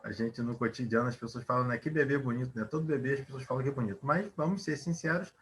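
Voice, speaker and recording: A man's voice: mid-range voice, quick pace, fairly bright tone, slight background noise. A man teaching a Portuguese grammar lesson, explaining at length, asking rhetorical questions and using many fillers.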